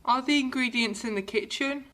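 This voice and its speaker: Fairy-tale heroine, woman actor: clever little girl, slightly high, polite with some cheek. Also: normal speed, a bit bright. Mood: anxious. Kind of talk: fairy-tale radio drama acting.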